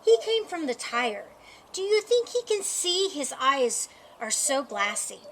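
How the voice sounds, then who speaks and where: Woman acting out astonished storybook neighbors, curious exclamations, high swooping pitch. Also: sing-song, unhurried, slight background noise, heavily acted, rough recording. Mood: amazed. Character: astonished, chattering crowd of neighbors around a cat.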